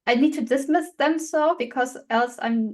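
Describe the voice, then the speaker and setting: A woman moderates an informal webinar. Her voice is fairly high, and she talks the audience through practical instructions. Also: normal speed. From Germany. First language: German.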